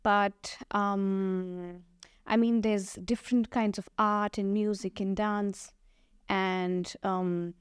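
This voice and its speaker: Indian woman guesting on a podcast, hindi native, lots of ums and restarts, mid pitch, dry close sound. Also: steady tone, takes it slowly, clean tone, no echo. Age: young adult. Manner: thoughtful, many ums and restarts. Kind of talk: casual interview.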